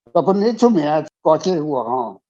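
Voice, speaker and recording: A man's voice: a little high, big pitch swings, brisk, dark tone. A man with a Taiwanese accent answering casually as a guest author in a panel discussion, rambling and repeating his words. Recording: dull, lo-fi sound.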